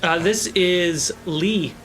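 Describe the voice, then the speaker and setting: A man's voice: sits high, drawn-out words, fairly bright tone, soft background music. A man narrating a tabletop role-playing game as its game master.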